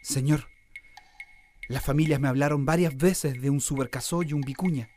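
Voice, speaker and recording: Actor as earnest Chilean reporter, music behind. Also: male voice, medium pitch, normal speed, a little mellow, natural voice. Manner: earnest, respectful, explanatory. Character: earnest, deferential male newspaper reporter. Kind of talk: Spanish-language radio drama acting.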